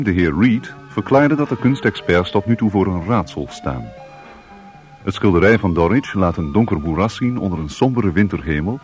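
A sober report reader in a radio play. His voice is on the low side, reading formally and with measure over faint music. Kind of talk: scripted news report in radio drama.

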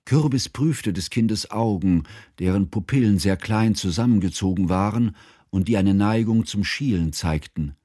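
An actor playing a grave, mournful narrator, reading literary prose in a solemn, articulate way for a radio drama. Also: male voice, lowish voice, normal speed, warm tone, breathy edge, dry recording, studio quality.

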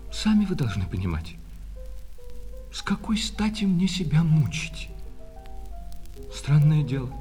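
A man's voice: medium pitch, darkish tone. A man playing an obsequious, wounded male shop clerk in a radio play, switching between servile sales patter and bitter reproach. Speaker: actor. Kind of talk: radio play acting of a classic short story. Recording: reverberant hall, music behind.

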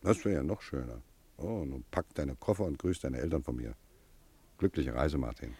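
An actor in a radio play playing a gruff but kindly schoolmaster, mock-stern, prodding and fatherly. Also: very deep voice, lively intonation, normal speed, dark tone, no room sound, heavily acted. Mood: gruffly generous.

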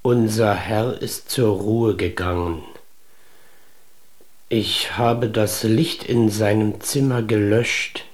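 A man playing a household servant who reports in a hushed, measured way. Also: lowish voice. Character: quiet old household servant.

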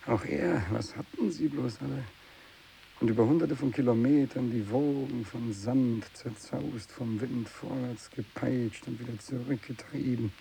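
An actor playing a tormented, bitter, fanatical renegade missionary in a radio drama monologue, brooding and intense, moving between hushed speech and anguished outbursts. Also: male voice, mid-range voice, unhurried, creaky, low-quality recording. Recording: steady tape hiss.

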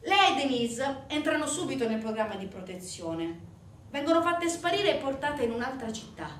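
Stage actress, female, fairly high voice, emphatic dramatic narration with deliberate pauses; roomy, echoing recording. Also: big pitch swings. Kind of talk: dramatic theatre monologue narration. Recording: echoey, distant mic, faint noise.

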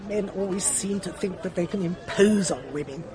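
A woman's voice: fairly low pitch, crowd noise, close to an everyday voice. An actress playing a bossy, self-important middle-aged lady politician with an upper-class English accent in a radio drama. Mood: brisk, pompous. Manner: pompous, brisk, commanding.

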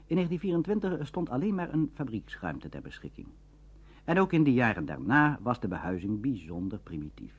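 A man's voice: medium pitch, big pitch swings, brisk, husky. A man reads scripted documentary narration in a formal, carefully worded way. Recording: dull archive radio recording.

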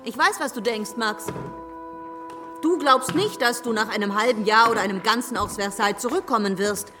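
An actress in a radio drama playing a devoted but sharp-tongued sister, insistent and reproachful. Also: female voice, fairly high pitch, normal speed, over music, natural voice. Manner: scolding, insistent.

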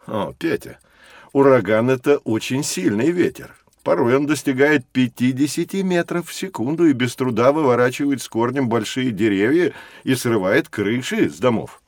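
An actor playing a kindly, enthusiastic professor, explaining things expressively to children in a scripted educational audio drama. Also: male voice, mid-range voice, lively intonation, moderate pace, a little mellow, dry recording, clean studio sound, lightly acted. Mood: instructive, concerned. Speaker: professor, teacher.

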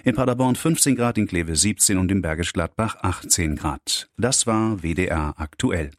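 Deep-voiced male radio news reader. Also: normal speed, dry recording, clean studio sound. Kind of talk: formal scripted news bulletin. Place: radio studio.

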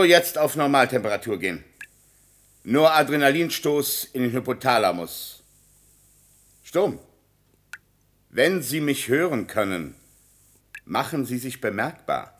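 An actor playing a callous, impatient scientist doctor in a science-fiction radio play, clinical and brusque in manner. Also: male voice, medium pitch, very animated, measured pace, pauses often, a bit bright. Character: callous, impatient male scientist doctor, coolly self-justifying. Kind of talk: German science-fiction radio drama acting.

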